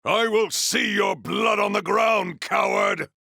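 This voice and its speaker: An actor playing a noble, earnest warrior hero in a video game, speaking in a pompous, formal and grandiose way. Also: male voice, sits high, slow, gravelly, sharp tone, no room sound, shouting, clean studio sound, heavily acted. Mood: fierce, battle-ready. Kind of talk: video game character voice acting.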